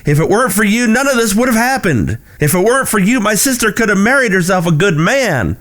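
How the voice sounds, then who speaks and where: A man's voice: sits high, expressive, brisk, fairly bright tone. An actor playing a bitter, grieving young man in a western audio drama, emotional, articulate and cutting. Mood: accusing, bitter.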